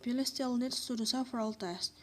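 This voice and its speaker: A woman's voice: on the high side, brisk, natural voice. A woman playing a weary, practical mother who reasons insistently and defensively, in an amateur radio drama.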